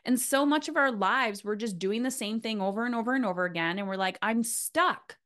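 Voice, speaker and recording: A woman's voice: on the high side, a little fast, a bit bright. A woman hosting a casual interview in a motivational coaching style, emphatic and repeating phrases for weight. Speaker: podcast host, coach.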